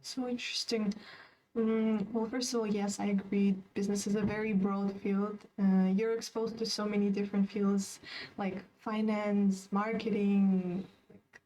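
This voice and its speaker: A woman's voice: medium pitch, level tone, dark tone, distant mic, slight background noise, poor audio. A young woman from Kazakhstan speaks as a student panelist, thoughtful and musing, humming "mm" between ideas. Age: young adult. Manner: thoughtful, with drawn-out hums. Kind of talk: casual webinar discussion. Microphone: video call.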